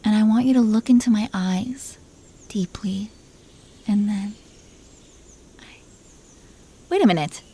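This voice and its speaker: A woman's voice: medium pitch, slow, pauses often, mellow, breathy edge. A woman playing a possessive, teasing dragon queen in an intimate roleplay audio, commanding yet doting toward her husband. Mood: wounded, indignant. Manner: playful, commanding, mock-sweet.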